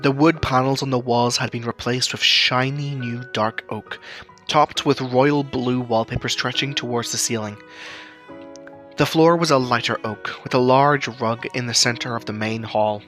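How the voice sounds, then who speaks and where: A man's voice: mid-range voice, steady tone, normal speed, fairly bright tone, faint music behind. A man reading scripted story narration as the narrator of a fiction tale.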